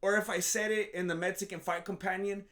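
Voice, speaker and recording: A man's voice: sits high, level tone, fairly quick, fairly bright tone. A man rambling through a casual, joking commentary monologue, sarcastic and self-mocking, with frequent restarts. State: groggy after a nap. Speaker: MMA commentary vlogger.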